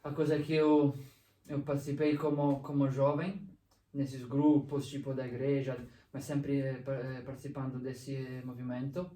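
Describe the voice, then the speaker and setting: A man's voice: mid-range voice, little pitch movement, moderate pace, dark tone. Italian man speaking hesitant Portuguese. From Italy. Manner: hesitant, many fillers and restarts. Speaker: guest. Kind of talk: casual interview conversation. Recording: echoey room, mic at a distance.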